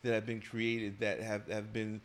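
A man's voice: on the low side, monotone, unhurried. A man as an interview guest, talking frankly and at length, often repeating and restarting words as he builds a point. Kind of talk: casual podcast conversation.

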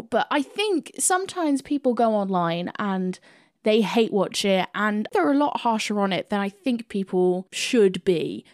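A woman's voice: mid-range voice, no room sound, studio quality. A British woman hosting a film podcast, giving chatty, opinionated reviews in a conversational style. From United Kingdom.

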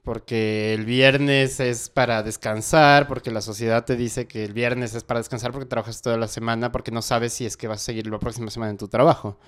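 A man with a Quito accent hosting a relaxed conversational interview. He talks in a loose, reflective way and keeps asking whether his guest is following him. His voice sits in an ordinary middle pitch for a man. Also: fairly quick, few pauses, clean tone. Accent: Quito Ecuadorian Spanish. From Ecuador. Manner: casual, reflective, keeps checking in with "¿cachas?". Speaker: host, musician.

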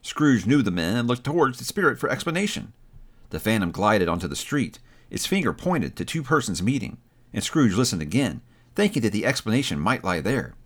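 Expressive male storyteller, lively pitch. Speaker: narrator. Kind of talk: dramatic audiobook narration.

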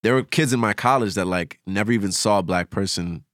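A man's voice: on the low side, brisk, fairly bright tone, dry recording, studio quality. A man talking casually as a podcast guest, reflective and slangy as he shares opinions.

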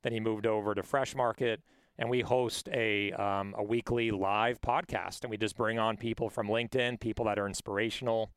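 An upbeat male podcast host who encourages his guest and keeps asking "right?". His voice is low and smooth. The sound is dry and close. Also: fairly even intonation, moderate pace, dry recording. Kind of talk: casual interview chat.

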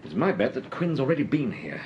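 An actor playing a calm, inquisitive gentleman detective in a period radio crime drama, with urbane, old-fashioned phrasing. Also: medium pitch, a little fast, dull audio, a little hiss or hum. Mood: musing, curious.

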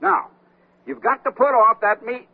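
An actor playing a busy, evasive, testy executive in a radio comedy, harried and defensive, with a raspy voice. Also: male voice, very high-pitched, moderate pace. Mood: brisk, harried. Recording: tinny narrow-band radio broadcast.

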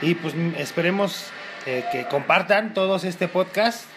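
A man's voice: high-pitched, a little slow, noisy background. A man with a Mexican accent chatting as a guest in an easygoing, hesitant way. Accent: Mexican Spanish. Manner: easygoing, hesitant, many fillers. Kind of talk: casual interview chat.

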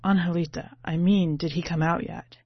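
A woman's voice: lowish voice, steady tone, moderate pace, natural voice. A woman voicing an anxious, earnest young male ticket taker, insistent and uneasy, in a story reading. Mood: hesitant. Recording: muffled, roomy home recording.

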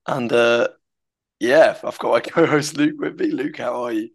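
Brief: male podcast host, croaky, upbeat, mid-pitched. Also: normal speed. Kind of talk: casual podcast chat.

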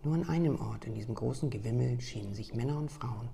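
A woman reading a story aloud in a soft, hushed, mostly whispered voice, slow and gentle. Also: extremely low pitch, creaky.

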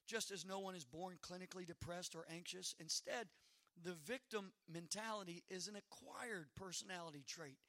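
A hoarse man preaching a sermon as pastor, stressing slow phrases and calling out to the congregation. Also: high-pitched, a bit bright, no echo, clean studio sound. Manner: preaching with slow emphatic phrases and rising calls.

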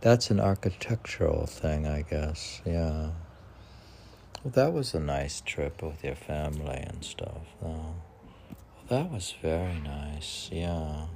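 Low, gravelly man musing slowly. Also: a little mellow, a little hiss or hum. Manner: musing, talks to himself, asks and answers own questions. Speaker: poet reading own work. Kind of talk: informal poetry reading with chatty asides.

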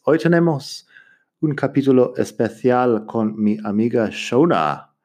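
A man hosting an English-learning podcast, giving an upbeat, promotional introduction. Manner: lively, promotional, swooping up in pitch. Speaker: podcast host, English teacher. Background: faint music.

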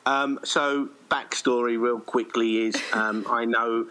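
A man's voice: mid-range voice, fairly even intonation, slow pace, pushed and bright, low-quality recording. A middle-aged man from Essex with an English accent, chatting casually and a little hesitantly as an interview guest. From Essex, England. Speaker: guest, writer-director.

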